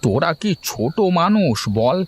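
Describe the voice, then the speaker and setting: A man voicing a worried old father who speaks slowly to his grown daughter in a cartoon. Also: fairly high pitch, very animated, husky, full character voice. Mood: scolding. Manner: slow, scolding then yielding.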